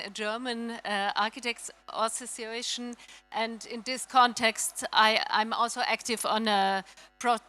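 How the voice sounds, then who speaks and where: Bright-voiced Austrian woman architect. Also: medium pitch. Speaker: architect, panelist. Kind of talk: self-introduction on a panel.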